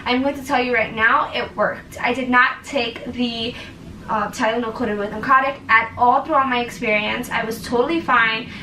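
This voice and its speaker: Bright chatty young woman vlogging. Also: on the high side, steady tone, moderate pace, reverberant, far from the mic, a little hiss or hum, poor audio. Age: young adult. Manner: chatty and earnest, many fillers. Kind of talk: casual advice vlog.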